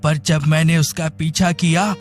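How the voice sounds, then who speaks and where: A man voicing a cunning blind schemer who puts on a plaintive, melodramatic manner in an animated story. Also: fairly high pitch, unhurried, soft background music, natural voice. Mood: wistful. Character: scheming blind man feigning helpless, wronged innocence. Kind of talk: animated moral story dialogue.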